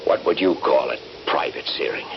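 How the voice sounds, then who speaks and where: An actor playing a steady, cautious soldier who reassures his buddy, earnest and careful, in a radio drama. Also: extremely low pitch, moderate pace. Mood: guarded. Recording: dull, noisy old radio broadcast.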